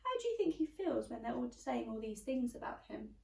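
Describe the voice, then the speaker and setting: Woman, children's storybook reader, lively swooping pitch, teacherly, expressive, slightly distant from the microphone. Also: fairly quick, gravelly, roomy sound. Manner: expressive, addresses young listeners with questions and instructions. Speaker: teacher reading aloud to children. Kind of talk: animated children's storybook read-aloud.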